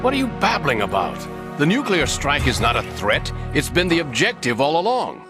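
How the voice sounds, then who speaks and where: An actor playing a secretive, impatient intelligence colonel in a video game, talking in a dry, conspiratorial, explanatory way. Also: male voice, fairly high pitch, wildly swooping pitch, normal speed. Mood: urgent. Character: secretive male intelligence colonel, undercover hostage, knowing and impatient. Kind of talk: dramatic video game voice acting. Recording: game music behind the voice. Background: music.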